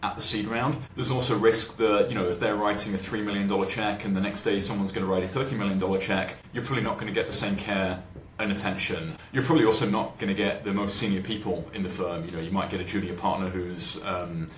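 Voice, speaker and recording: A man with a low voice speaks in a roomy, echoing space with clear noise behind him. He explains things informally, like a podcast guest answering questions. His phrasing is colloquial and conversational. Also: fairly even intonation, fast talker, few pauses, fairly bright tone. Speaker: venture capital investor, podcast guest.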